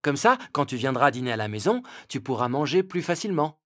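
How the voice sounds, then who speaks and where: An actor voicing a friendly, greedy, thoughtless male fox in a children's animated fable, chummy and eager. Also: mid-range voice, fairly quick.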